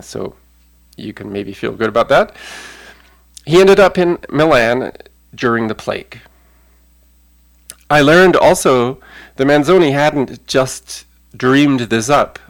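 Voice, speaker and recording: A man giving a measured explanatory talk, pausing with frequent fillers. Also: medium pitch. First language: not Italian. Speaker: lecturer. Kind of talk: spoken talk.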